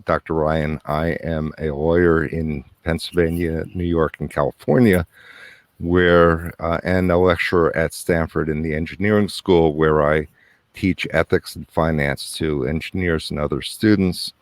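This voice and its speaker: Very deep-voiced man on a video call. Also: dry recording. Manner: relaxed, halting with repeated words. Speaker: lawyer and lecturer. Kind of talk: conference presentation. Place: outdoors under a pergola.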